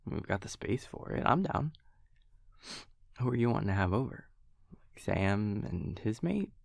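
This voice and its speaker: A man playing an affectionate, wry vampire boyfriend in an intimate audio roleplay, speaking casually and hesitantly in a low, gravelly voice. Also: sing-song, measured pace, no echo, clean studio sound, full character voice. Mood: amused, agreeable. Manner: hesitant, casual, softly teasing. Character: affectionate, wry male vampire boyfriend, self-deprecating and easygoing.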